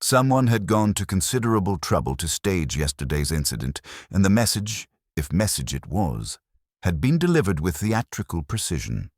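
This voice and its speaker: A man reading scripted detective fiction as an audiobook narrator, in a measured, literary storytelling manner. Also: low voice, big pitch swings, no echo, clean studio sound.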